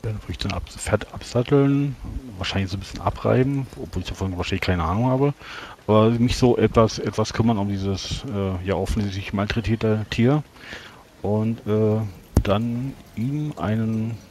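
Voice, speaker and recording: A man's voice: lowish voice, moderate pace, darkish tone, a little hiss or hum. A man playing a tabletop role-playing game, speaking in character politely and hesitantly. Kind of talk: improvised in-character game talk.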